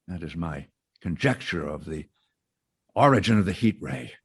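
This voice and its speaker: A learned professor delivering his theory in a radio drama. His voice sits very low and a little husky, yet it swoops widely in pitch as he explains. He speaks in a measured, formal, lecturing manner. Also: expressive. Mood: grave. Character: learned older male astronomy professor.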